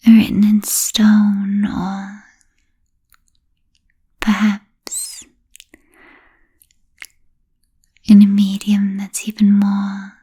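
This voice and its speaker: Woman playing an ancient languid vampire, ASMR roleplay, breathy whisper, mellow tone, very slow halting pace, formal, soothing. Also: mid-range voice, full character voice. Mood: soothing, ominous. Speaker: ASMR performer.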